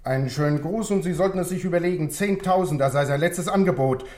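An actor playing a dutiful junior police officer relaying messages in a 1960s German radio play, plain and matter-of-fact. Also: male voice, fairly high pitch, quick pace, a little mellow, reverberant, natural voice.

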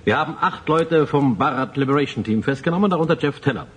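An actor playing a matter-of-fact male security officer with a husky voice, reporting in a radio drama. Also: fairly high pitch, animated, fast talker. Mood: briskly reporting.

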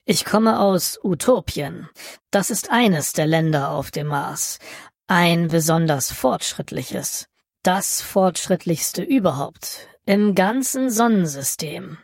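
A woman's voice: lowish voice, expressive, slow pace, no room sound, clean studio sound, exaggerated voice. Wry Martian alien played by a woman, closely recorded. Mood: wry, proud. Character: genial, wry, bored Martian alien, prodigal son from Utopia. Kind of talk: German satirical radio play acting.

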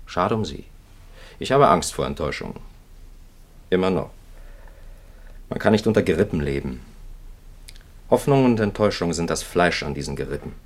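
Weary clergyman, lowish pitch, earnest, plain-spoken, impatient, dry delivery, vintage radio play. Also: expressive, moderate pace, pauses often. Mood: resigned, earnest. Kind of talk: 1950s German radio play acting.

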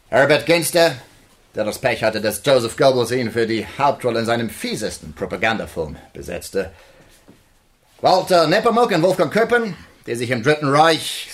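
An actor in a German radio drama playing a showy, sardonic, German-born American film officer, grand and commanding. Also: male voice, medium pitch, a bit bright, natural voice. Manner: grandly presenting, commanding, mocking.

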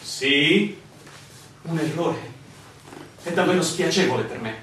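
An actor playing a village official, haughty yet awkwardly obsequious, in a theatrical radio drama. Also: male voice, on the high side, expressive, slow, fairly bright tone. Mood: embarrassed. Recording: echoey room, distant mic, slight noise.

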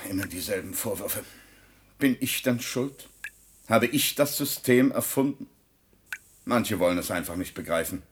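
An actor playing a callous, impatient scientist doctor in a science-fiction radio play, clinical and brusque in manner. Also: male voice, fairly low pitch, expressive, measured pace, pauses often, slight background noise. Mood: cool, dismissive. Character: callous, impatient male scientist doctor, coolly self-justifying. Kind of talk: German science-fiction radio drama acting.

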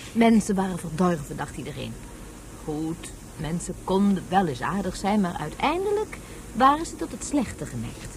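An actress playing a patient, caring woman who gently explains things to a young boy in a science fiction radio drama. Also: medium pitch.

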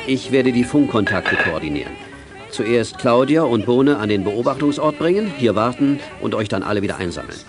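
A man's voice: mid-range voice, animated, moderate pace, over music, lightly acted. An actor playing a kindly, careful old man who helps young detectives in a children's radio play. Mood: organizing, firm. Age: older. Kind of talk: 1980s German children's radio play acting.

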